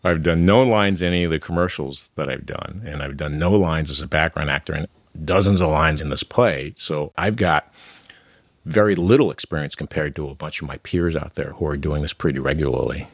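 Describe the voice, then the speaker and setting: Very deep-voiced man, dry sound. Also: a little fast, dry recording. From New York City. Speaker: interview guest, amateur actor. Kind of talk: conversational interview.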